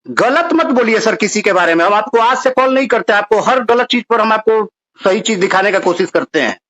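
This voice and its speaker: A man's voice: sits high, expressive, a little fast, fairly bright tone. A man arguing insistently on a recorded phone call, while keeping to polite forms of address. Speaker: caller. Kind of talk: argumentative phone conversation.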